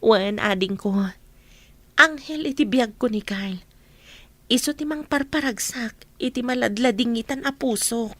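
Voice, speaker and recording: Rita, high-voiced earnest young eldest sister. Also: female voice, unhurried, a bit bright, no echo. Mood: tender. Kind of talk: Ilocano radio drama acting.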